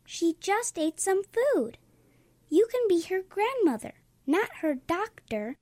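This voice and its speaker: A woman doing a soft-voiced little girl. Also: big pitch swings, slow, very noisy, full character voice. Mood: soothing. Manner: soft, coaxing, higher and quieter than the narration. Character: gentle little girl mothering her teddy.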